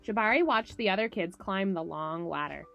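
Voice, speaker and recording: A woman's voice: mid-range voice, lively intonation, normal speed, gravelly, lo-fi sound. A woman presenting a story time for children, speaking simply and encouragingly, with music behind her. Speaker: camp director reading picture books to children.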